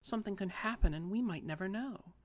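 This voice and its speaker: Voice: medium pitch, fairly quick, voice pushed up, lightly acted. An audiobook reader voicing a prim, disapproving, self-righteous sister with a lifted, precise voice. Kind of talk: audiobook character voice.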